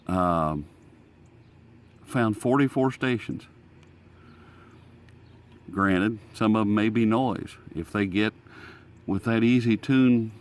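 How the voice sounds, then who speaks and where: An American man hosting a casual hobby radio demonstration outdoors, talking in a folksy, rambling way. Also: lowish voice. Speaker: host. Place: outdoors in sunlight.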